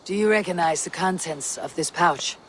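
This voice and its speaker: A man playing a calm, musing Viking warrior. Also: on the high side, slight background noise, natural voice. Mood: pleading. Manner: measured, muttering thoughts half-whispered. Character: calm, thoughtful Viking warrior investigating a murder. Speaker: game protagonist. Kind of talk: video game cutscene acting. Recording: game audio with music.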